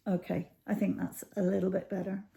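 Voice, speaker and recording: Slow, croaky middle-aged woman. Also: medium pitch, warm tone. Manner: rambling, pausing with ums. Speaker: cross stitch YouTuber. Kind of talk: casual chat to camera. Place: home.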